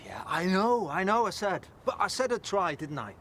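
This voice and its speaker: An actor playing a stressed, stubborn engineer, curt and defensive, in a naturalistic drama film. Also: male voice, high voice, animated, normal speed, a bit bright. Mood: irritated, defensive. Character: stressed, stubborn working husband and van-driving engineer. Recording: vehicle and location noise.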